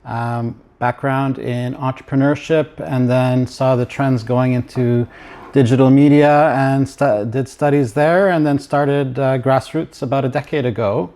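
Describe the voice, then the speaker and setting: A man from Ottawa co-hosts a live podcast in a calm, considered manner. His voice is at a middling pitch for a man, and he lets his thoughts unfold slowly, with a few fillers along the way. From Ottawa, Canada. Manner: measured and reflective, with fillers. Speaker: podcast co-host. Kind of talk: casual live podcast chat.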